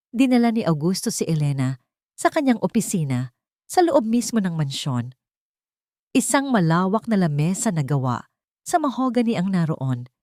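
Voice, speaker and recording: A woman's voice: medium pitch, a little mellow, dry recording, studio quality. A woman reading a scripted dramatic story as its narrator.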